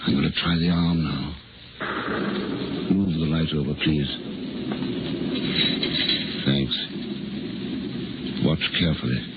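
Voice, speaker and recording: A man's voice: rumbling low, fairly even intonation, slow pace, pauses often. An actor playing an obsessed male scientist in 1950s American radio drama, intense and insistent. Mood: absorbed, controlled. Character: Victor, obsessed male scientist defending his living creation. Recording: noisy, dull vintage radio recording.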